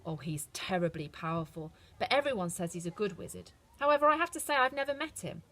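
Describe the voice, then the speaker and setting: A woman voicing a kindly, motherly old good witch who speaks in a gentle, reassuring way. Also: medium pitch, animated, fast talker. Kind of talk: storybook reading.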